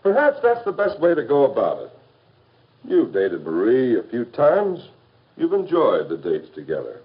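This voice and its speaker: An American man acting as an easygoing, reasonable middle-aged father giving measured advice in a 1950s educational film. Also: mid-range voice, wildly swooping pitch. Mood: calm, advising. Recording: dull, muffled 1950s film soundtrack.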